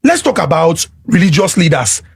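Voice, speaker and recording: Measured Nigerian man, husky and high. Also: sing-song. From Lagos, Nigeria. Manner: deliberate, explanatory, repeats to hold the floor. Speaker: panelist. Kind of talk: measured debate.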